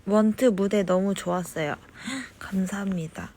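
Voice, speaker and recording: A young woman chatting casually on a phone livestream, reading fan comments and answering them softly, at times slipping into whispers. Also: medium pitch, slightly rough. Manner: slow, soft-spoken, drifts into whispers. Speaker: idol livestreaming to fans.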